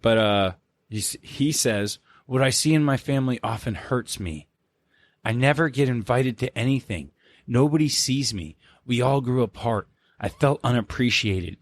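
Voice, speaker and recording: A man's voice: mid-range voice, lively intonation, normal speed, dry recording. A man co-hosting a podcast in casual banter, laid-back and profane, with lots of filler words. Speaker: podcast co-host.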